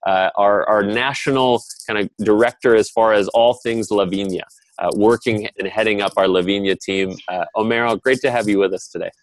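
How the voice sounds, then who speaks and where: A man's voice: fairly low pitch, no echo. A man hosting an online panel session in an informal, conversational way. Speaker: host moderating an online panel. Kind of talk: casual webinar hosting. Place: online video call.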